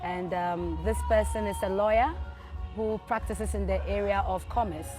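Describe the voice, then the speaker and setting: A woman's voice: mid-range voice, normal speed, over music. A woman hosting a television interview, speaking formally and prompting her guest with short questions. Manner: formal, brief prompts and frequent okays.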